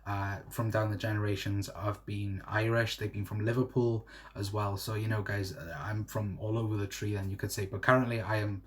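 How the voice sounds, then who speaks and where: A young Welsh man in his twenties hosts a casual livestream and chats loosely through viewer comments. His voice sits a little low. He rambles and fills pauses with "uh" and "to be fair". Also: level tone. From South Wales. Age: 20s. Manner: rambling, many fillers and "to be fair". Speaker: livestream host.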